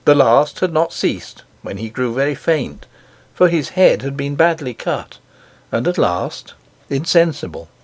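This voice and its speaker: A male audiobook narrator reads a children's story with wide, lively swings of pitch. His delivery is measured and expressive, and the sound is dry, with little room around it. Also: no echo.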